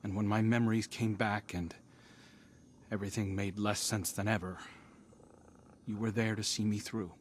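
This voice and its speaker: A man playing an earnest, humbled outcast hero in a game, speaking in a sincere, reflective and unhurried manner. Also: lowish voice, little pitch movement, a little hiss or hum, lightly acted. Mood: heartfelt, grateful. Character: earnest, humbled male outcast hero finding his path.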